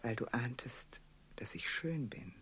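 Breathy, seductive radio drama mistress. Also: female voice, very deep voice, measured pace, a bit bright, exaggerated voice. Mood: seductive, hushed. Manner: breathy, intimate, often sinking into whispers. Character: veiled, seductive, secretive mistress, alluring and evasive. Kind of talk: classic German radio drama acting.

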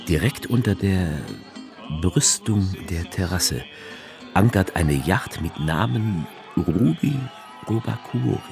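An actor playing a brooding, sardonic author who narrates a radio play in the first person. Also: male voice, low-pitched, lively intonation, slow pace, vocal fry, music in the background, exaggerated voice. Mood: observing, sardonic. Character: brooding male narrator-author, sensual, darkly sardonic witness.

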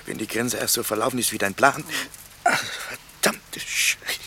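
An actor playing a sceptical, weary fugitive soldier in a radio play, grumbling with coarse sarcasm. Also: male voice, fairly low pitch, fairly even intonation, measured pace, pushed and bright, slight background noise, exaggerated voice. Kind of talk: German radio play acting.

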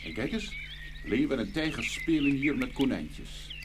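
A man playing the gentle, obliging East Wind in a children's fairy-tale radio play, speaking in a friendly, storytelling way. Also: medium pitch, very animated, measured pace. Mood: friendly, eager.

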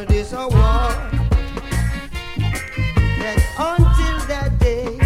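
A woman performing lyrics in a sung, reggae style. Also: high voice. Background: reggae music.

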